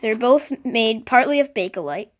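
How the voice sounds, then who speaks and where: A vlogger casually showing off a collection, speaking unscripted and haltingly, often stopping to clear the throat. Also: medium pitch, lively intonation. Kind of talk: casual unscripted show-and-tell.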